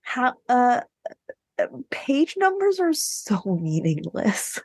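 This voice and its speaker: A woman's voice: on the high side, sing-song, extremely slow, darkish tone, no echo. A woman co-hosting a podcast, chatting casually about books in a playful, emphatic way. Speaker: podcast co-host discussing books. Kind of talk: casual book chat.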